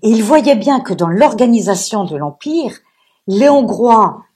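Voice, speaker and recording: A woman with a husky voice giving an unscripted talk as a guest speaker, earnestly explaining with anecdotes and asides. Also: on the high side, expressive, a little slow, darkish tone. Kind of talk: public lecture discussion, unscripted.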